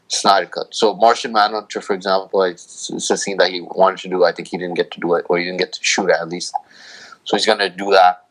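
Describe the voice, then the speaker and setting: A man's voice: low voice, fairly bright tone, poor audio. A man speaking as a panelist in a casual chat, thinking aloud in a tentative way.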